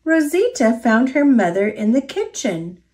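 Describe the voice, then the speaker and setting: Slow, expressive American woman reading a storybook. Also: on the high side. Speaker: children's storybook reader. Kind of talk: expressive read-aloud storybook narration.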